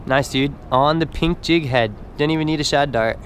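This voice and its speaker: Male angler, jokey teasing banter, a bit high-pitched, noisy outdoor camera audio. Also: normal speed. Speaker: angler filming his brother. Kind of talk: casual joking chat. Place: rocky riverbank outdoors.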